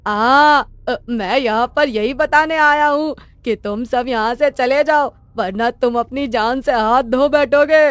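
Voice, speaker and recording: A woman's voice: sits high, takes it slowly, hardly any pauses, no echo, pitched above the performer's own voice. A woman voicing an agitated, crazed man who gives insistent, ominous warnings in a cartoon story.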